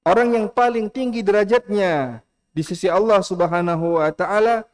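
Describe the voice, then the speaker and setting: Middle-aged man, Indonesian accent, mosque lecture, earnest, fairly high voice, repeats himself for emphasis. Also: darkish tone. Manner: earnest, repeats key phrases, recites Arabic slowly. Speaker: preacher.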